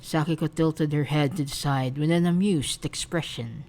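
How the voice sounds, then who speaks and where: Brief: female narrator for romantic fan fiction, low register, soft storytelling read. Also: normal speed.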